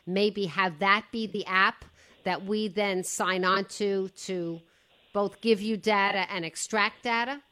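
A woman's voice: mid-range voice, steady tone, takes it slowly, sharp tone. A woman hosting a radio talk show, interviewing a guest in a chatty, affirming way.

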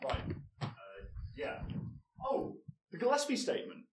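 A man's voice: very high voice, very animated, unhurried, husky, exaggerated voice. An actor playing an awkward, hesitant male archive assistant who stammers sheepishly in a live audio drama. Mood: intrigued, chatty.

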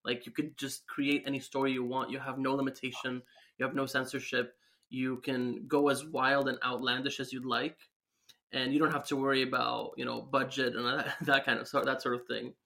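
Bright-voiced young man from Syria. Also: medium pitch, flat delivery, moderate pace, gravelly. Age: 20s. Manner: eager, talks with a light laugh. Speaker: guest comic creator. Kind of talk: casual interview chat.

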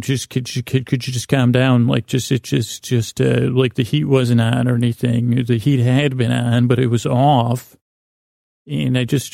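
Creaky, meandering male podcast host. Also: medium pitch, warm tone, dry recording, clean studio sound. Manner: meandering, halting, repeats and loses his thread; self-deprecating, whimsical. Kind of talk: rambling solo monologue for a bedtime podcast.

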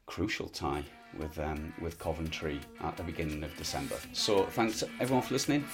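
A man's voice: rumbling low, fairly quick, over music. A man hosting a football interview, asking long questions with frequent hesitations and repeated words. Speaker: podcast host. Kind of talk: interview host. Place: lives in Wales.

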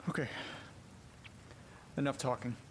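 An actor in a horror audio drama playing a weary, desperate man who records himself at home, muttering in halting, wry, sweary monologue. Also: medium pitch, steady tone, heavy background noise, lightly acted. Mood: somber, resigned.

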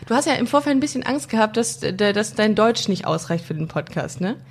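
A woman's voice: medium pitch, lively intonation, a little fast, a little hiss or hum. A woman hosting a podcast in casual interview chat, joking and talking with many fillers and restarts. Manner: chatty and jokey, with frequent fillers and restarts. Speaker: podcast host.

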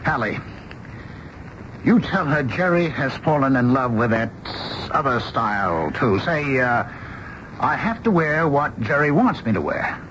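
A man's voice: medium pitch, big pitch swings, a little slow, fairly bright tone. An actor playing an exasperated, insistent middle-aged father in an American radio drama, with an emphatic, commanding manner. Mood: exasperated, urging. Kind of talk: 1970s radio drama acting. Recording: dull old radio broadcast, faint noise.

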